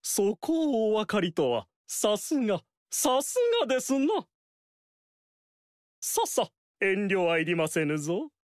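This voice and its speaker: Brief: vain, scheming male strategist for a game; big swooping delivery, unhurried, dry studio sound. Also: high voice, sing-song, dry recording, exaggerated voice. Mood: proud. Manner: boastful, theatrical, swings loud and soft. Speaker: game character voice. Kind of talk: Japanese game dialogue acting. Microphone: dry studio mic.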